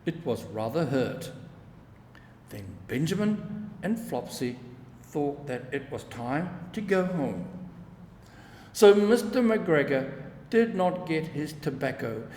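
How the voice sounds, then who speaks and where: Man, storybook narrator, measured delivery, long reverberant room, background noise. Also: on the high side, sing-song, a little mellow. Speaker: storybook reader. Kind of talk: scripted children's story narration.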